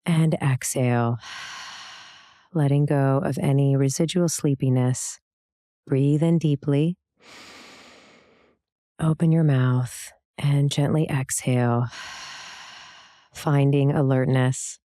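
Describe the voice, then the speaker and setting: Calm lady doing guided meditation, low soft-edged voice, really dry close sound, gentle n soothing w pauses. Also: no room sound. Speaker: meditation guide, yoga teacher.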